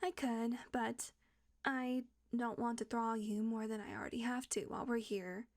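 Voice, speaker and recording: A woman acting a tense female vampire who switches between hushed whispers and cursing outbursts in an audio roleplay. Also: on the high side, fairly even intonation, normal speed, natural voice. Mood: weary, firm.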